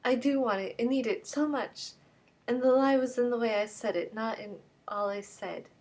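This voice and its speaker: A woman's voice: normal speed, darkish tone. A woman voicing a desperate, theatrical young woman who begs and implores in a dramatic reading of a novel. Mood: ashamed. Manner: breathless, imploring, rising pitch.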